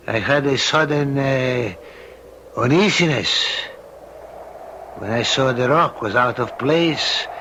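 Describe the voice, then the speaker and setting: On a faintly noisy vintage radio recording, a stern, courtly Spanish don. He has a bright, forceful voice and a Spanish accent, and speaks very slowly, with formal, suave command. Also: medium pitch. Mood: courtly, apologetic. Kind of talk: old-time radio drama acting.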